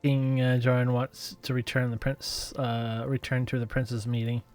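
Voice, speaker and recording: A man with a mid-pitched voice plays a game and comments casually. He reads lines in a halting, informal manner, with music clearly playing behind him. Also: completely flat delivery, a little mellow. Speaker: gaming video host and player. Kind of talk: casual game playthrough, reading dialogue and commenting.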